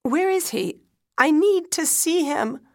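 A woman's voice: on the low side, slow pace, no echo, clean studio sound. A woman playing a distraught mother and wife, pleading urgently, in dramatic storytelling. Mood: disbelieving, desperate. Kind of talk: dramatic audiobook-style storytelling.

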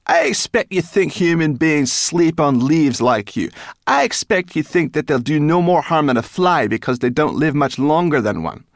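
A man's voice: low-pitched, creaky, dry recording, studio quality. A man voicing a grumpy female brownie in a storybook, sarcastic and scornful.

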